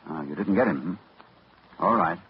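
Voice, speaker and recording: A man's voice: low voice, a little slow. A man playing a grave, driven doctor who narrates his ordeal urgently in a 1940s radio drama. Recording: muffled old radio broadcast, hiss.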